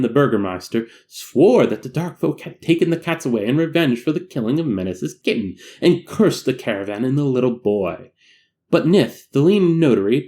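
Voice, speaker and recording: Man narrating with very lively, swooping pitch. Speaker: amateur audiobook narrator. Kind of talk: dramatic literary story narration.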